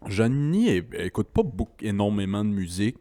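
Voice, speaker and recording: Low laid-back Québécois man, dry. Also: big pitch swings, fast talker, dark tone, no echo. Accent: Québécois French. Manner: laid-back, laughs often. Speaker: podcast co-host. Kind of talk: casual chat.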